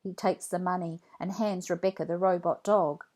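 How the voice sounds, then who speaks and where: A woman reading a children's story aloud in an expressive, deliberate read-along style. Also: on the low side. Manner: deliberate, animated phrasing for children.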